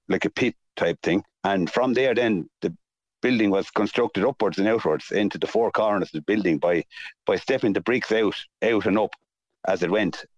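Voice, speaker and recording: A man with a deep voice and a south-east Irish accent talks as an interview guest. He explains in halting, measured phrases without a script. The sound is close and dry, if a little dull. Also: no room sound. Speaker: mill restorer, interview guest.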